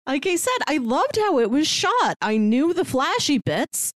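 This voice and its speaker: A woman's voice: high-pitched, big pitch swings, measured pace, dry recording, clean studio sound. A woman co-hosting a podcast, talking about films in a thoughtful, sincere way, with many fillers and restarts. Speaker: podcast co-host. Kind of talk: casual film discussion.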